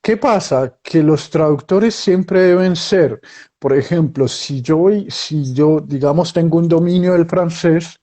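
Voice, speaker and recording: A man's voice: fairly high pitch, measured pace, dark tone. A middle-aged man from Colombia, a guest writer, chatting casually and telling stories with many restarts. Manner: storytelling, many restarts and acted quotes. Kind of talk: casual interview conversation.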